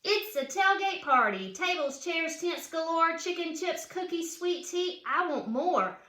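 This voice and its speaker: Woman, bright high voice, children's read-aloud, questioning and cheering delivery, roomy echo. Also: lively intonation, a little slow. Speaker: children's book author. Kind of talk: read-aloud of children's picture book with chatty asides. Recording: roomy, echoing room sound.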